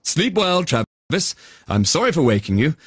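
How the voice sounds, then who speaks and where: Man voicing a kindly, calm storybook unicorn, lively pitch. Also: moderate pace, slightly rough, no room sound, studio quality. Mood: kindly, reassuring. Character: kindly, calm male unicorn who cleans houses, chipper and chatty. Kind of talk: children's bedtime story reading.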